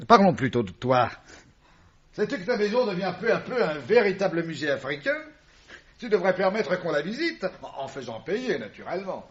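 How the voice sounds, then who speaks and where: A sly, husky, affable schemer. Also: male voice, fairly high pitch, a bit bright, full character voice. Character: sly, falsely affable male cousin, curious and calculating. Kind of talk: French radio drama acting. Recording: dull, lo-fi old radio recording.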